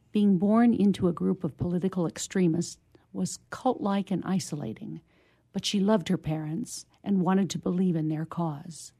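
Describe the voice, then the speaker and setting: A woman guest reading a short story aloud in a measured narrative style. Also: lowish voice, dark tone. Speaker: guest reader.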